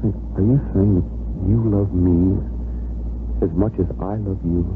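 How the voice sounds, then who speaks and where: An actor playing a kind, calm man in love in a 1950s radio soap. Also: low voice, a little slow. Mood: tender. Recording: tinny old radio recording, faint noise.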